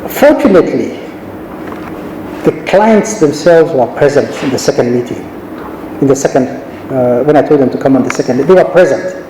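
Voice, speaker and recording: A middle-aged man from Kenya, a judge, giving a measured, anecdotal conference talk with frequent restarts. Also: mid-range voice, animated, warm tone, a little hiss or hum. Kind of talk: conference speech. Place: large conference hall. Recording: echoey hall recording.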